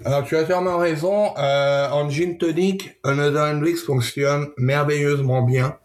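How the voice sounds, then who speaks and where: Man with an even, halting delivery. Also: fairly high pitch. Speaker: gin brand representative, interview guest. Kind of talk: conversational product presentation in an interview.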